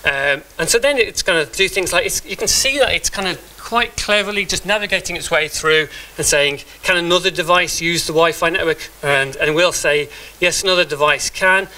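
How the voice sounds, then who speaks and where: A man's voice: on the high side, normal speed, sharp tone, poor audio. A middle-aged man giving a live talk with a demo in a meeting room, speaking conversationally with frequent restarts and fillers. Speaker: conference speaker.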